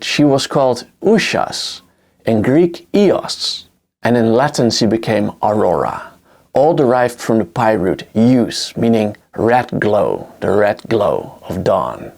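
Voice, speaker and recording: A man's voice: medium pitch, gravelly. A man with a Dutch accent, a native Dutch speaker, narrating a scripted history lesson in an explanatory way. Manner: explanatory, lively intonation. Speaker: history lecturer.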